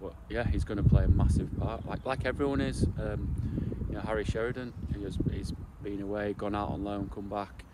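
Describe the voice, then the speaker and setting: A man in his forties, a football coach, giving a post-match interview outdoors beside the pitch, talking in a halting way with many restarts and fillers. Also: steady tone. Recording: outdoor noise behind.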